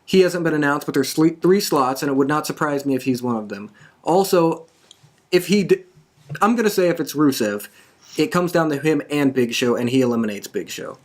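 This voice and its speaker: A man's voice: on the high side, lively intonation, a little fast. Casual male podcast host. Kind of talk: casual wrestling discussion.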